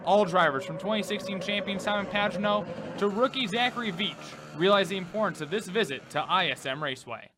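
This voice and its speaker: A man's voice: sits high, brisk, noisy background. A man reporting sports recaps in an emphatic, announcer-like broadcast delivery.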